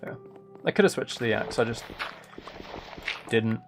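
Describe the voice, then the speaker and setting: Soft-spoken man musing over a game. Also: mid-range voice, normal speed, vocal fry, over music. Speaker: livestream host. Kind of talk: casual gaming livestream chat, thinking aloud while building.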